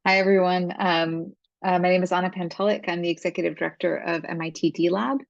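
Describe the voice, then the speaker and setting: Woman in her 30s, really low voice w lots of fry, reflective, on a panel video call. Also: fairly even intonation, fast, no room sound. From Serbia, later the US. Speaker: career panelist, executive director. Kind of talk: self-introduction on a panel. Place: room at home, video call. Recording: slightly dull video-call audio.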